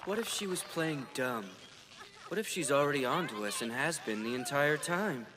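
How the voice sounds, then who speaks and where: An actor playing an excitable, earnest teenage hero in an English anime dub. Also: male voice, on the high side, moderate pace, background noise, close to an everyday voice. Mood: puzzled, excited.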